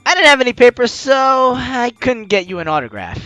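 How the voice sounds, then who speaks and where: A man's voice: very high voice, brisk, dry recording, highs cut off. A man hosting a let's play, expressively reading game text aloud and commenting, on a close mic with music behind. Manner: expressive, acts out lines as he reads.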